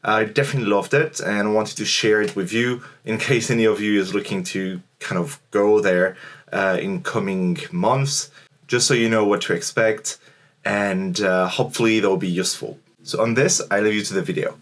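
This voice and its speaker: A young man telling about his travels in a relaxed, chatty way, with lots of small fillers. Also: on the low side, normal speed. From Morocco. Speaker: travel vlogger. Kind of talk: casual travel vlog narration.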